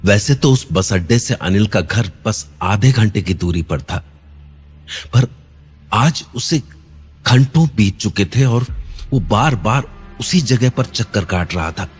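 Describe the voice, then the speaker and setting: A man narrating a cartoon horror story in a suspenseful, measured storytelling manner. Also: fairly low pitch, animated, husky, soft background music.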